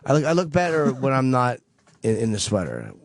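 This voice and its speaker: A man's voice: mid-range voice, wildly swooping pitch, brisk, vocal fry, dry recording. A man co-hosting morning radio, tired and self-deprecating, stumbling over repeated words. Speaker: comedian, radio co-host. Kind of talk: casual radio banter. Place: radio studio.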